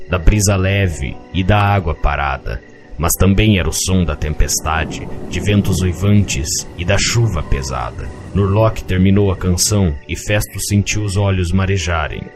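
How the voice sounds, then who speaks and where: A man with a Brazilian accent narrating a scripted fantasy story in a measured, literary way. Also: low-pitched. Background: music.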